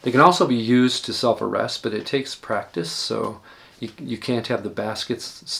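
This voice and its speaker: A man in his sixties giving casual, rambling hiking advice, often pausing and correcting himself. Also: on the low side, creaky. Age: 60s. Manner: relaxed, rambling, self-correcting. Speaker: hiking vlogger.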